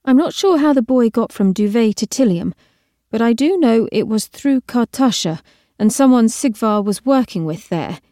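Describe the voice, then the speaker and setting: A woman's voice: a little high, expressive, moderate pace, dark tone, no echo, studio quality, natural voice. A woman voicing an earnest, anxious young female trainee in an audiobook story, careful and insistent. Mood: earnest, steady.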